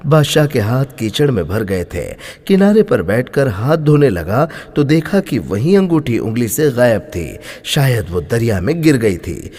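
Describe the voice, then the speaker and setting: A man tells a scripted moral tale with emphatic expression. His pitch rises and falls freely, and his voice has a husky grain. Everything rings in a long, hall-like reverb. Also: big pitch swings, a little slow, few pauses, mellow. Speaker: storyteller. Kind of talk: dramatic scripted moral story narration.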